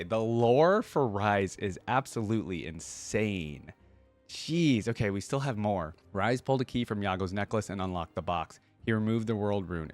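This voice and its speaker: A man hosting a reaction video, reading stories aloud and commenting informally, with exclamations and rephrasing as he goes. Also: lowish voice.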